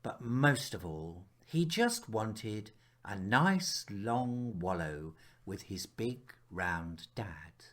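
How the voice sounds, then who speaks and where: A man reads a children's story aloud at a slow, unhurried pace. His pitch moves up and down in a lively way, and he uses dramatic pauses and drops into hushed moments as a storyteller. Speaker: author reading his picture book aloud.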